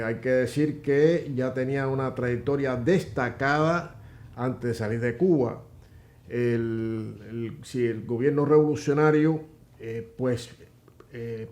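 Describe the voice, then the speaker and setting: A man's voice: medium pitch, dark tone. A man co-hosting a political commentary show, explaining in a hesitant way with many fillers. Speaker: co-host.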